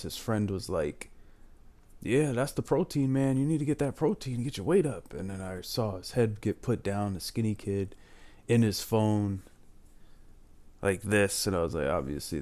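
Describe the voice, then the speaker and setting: A man's voice: on the low side, creaky, a little mellow. A man in his twenties talking casually and candidly, giving life advice and often adding "man". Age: 20s. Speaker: advice channel host.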